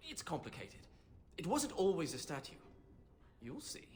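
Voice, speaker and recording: A man playing an excitable, bookish young mage companion in a fantasy video game, with a theatrical manner. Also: on the high side, normal speed, slight background noise. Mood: explaining.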